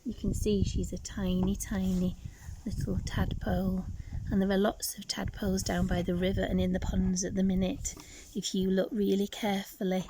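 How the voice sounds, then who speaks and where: A woman reading a picture book expressively to young children, with dramatic pauses and asides to her listeners. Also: mid-range voice, level tone, noisy background.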